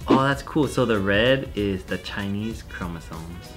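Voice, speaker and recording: A man's voice: lowish voice, animated, measured pace, fairly bright tone, faint music behind. A man chatting casually as a vlog co-host in a laid-back, joking way. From half Japanese, half German family. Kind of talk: casual chat.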